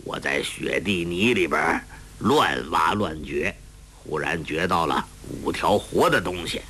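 A man voicing a gruff, crude old male beggar master who mocks and scolds in a storytelling audiobook. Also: sits low, measured pace, pushed and bright, a little hiss or hum, pitched below the performer's own voice, heavily acted. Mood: scheming, grumbling. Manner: rough, mocking, coarse and laughing. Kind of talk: audiobook character voice.

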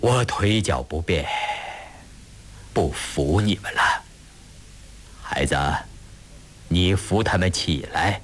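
A man voicing a grave, compassionate gentleman in a storybook reading, measured, pondering and sighing. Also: low voice, expressive, exaggerated voice. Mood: composed.

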